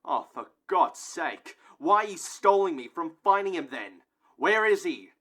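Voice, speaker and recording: A man's voice: sits high, sing-song, unhurried, pushed and bright, heavily acted. A man playing a stubborn, impatient airport security guard in an audio play, curt and demanding. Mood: impatient, annoyed. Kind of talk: amateur audio play acting.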